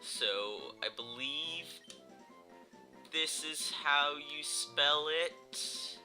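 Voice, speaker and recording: A man's voice: fairly high pitch, extremely slow, sharp tone. A young man with a stuffy, congested voice, casually commenting on his gameplay, rambling with many ums and self-corrections. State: stuffy nose from a cold. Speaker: gaming YouTuber. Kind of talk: casual Let's Play commentary. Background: game music.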